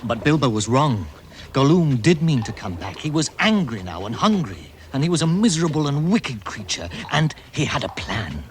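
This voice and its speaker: A man's voice: mid-range voice, big pitch swings, moderate pace, a little hiss or hum, lightly acted. A man narrating a radio drama as a solemn storyteller. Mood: solemn storytelling. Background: music.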